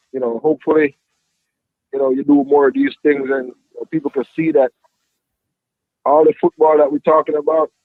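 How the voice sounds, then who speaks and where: A man's voice: mid-range voice, no room sound. A man from Jamaica with a Jamaican accent, a youth football coach answering interview questions reflectively, with many "you know" fillers. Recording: dry tinny phone-like line.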